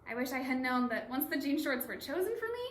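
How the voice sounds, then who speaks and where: A woman's voice: high-pitched, sing-song, quick pace. A woman performing readers theatre as an earnest, chatty young reality show contestant, with emphatic delivery. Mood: wry, exasperated. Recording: echoey room, some background noise.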